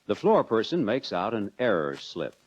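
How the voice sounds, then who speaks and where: An American man narrating a 1940s instructional film in a measured, instructive manner, addressing the viewer directly. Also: lowish voice, wildly swooping pitch, husky. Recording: dull lo-fi 1940s film soundtrack.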